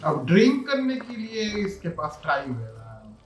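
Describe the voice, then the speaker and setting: A man's voice: high-pitched, sing-song, racing, soft background music. A man giving casual walkthrough commentary as a gaming video host. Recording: roomy, slightly dull microphone.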